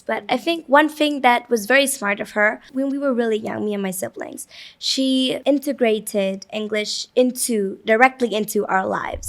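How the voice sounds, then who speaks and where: A woman's voice: on the high side, a bit bright. A young woman, a student who grew up with Vietnamese, answering interview questions in English fluently and thoughtfully. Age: teens.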